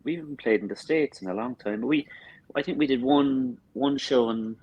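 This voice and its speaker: A man's voice: medium pitch, moderate pace, a little mellow, breathy edge, dry recording, dull audio. An Irish man in his fifties with a Cork accent, a drummer chatting casually as an interview guest, often repeating and restarting his words. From Cork, Ireland. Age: 50s. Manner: hesitant, repeats and restarts words mid-sentence.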